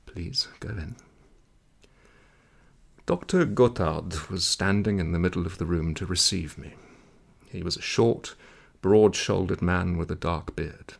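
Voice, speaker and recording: Low, gravelly man reading a story. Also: measured pace. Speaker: audiobook reader. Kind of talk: literary story narration.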